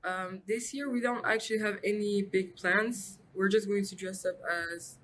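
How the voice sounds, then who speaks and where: A woman's voice: medium pitch, steady tone, moderate pace, fairly bright tone, slight background noise. Chatty young woman student. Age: young adult. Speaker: interviewed student. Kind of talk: interview answers.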